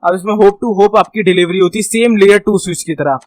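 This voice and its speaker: A man with an Indian accent and a notably high voice teaches in an informal tutorial style. He explains step by step, mixes in English technical terms and keeps checking that the listener follows. The recording is dry and close, with very little room sound. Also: brisk, no room sound. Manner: explanatory, repeatedly checking understanding with tag phrases. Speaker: instructor, tech tutorial host.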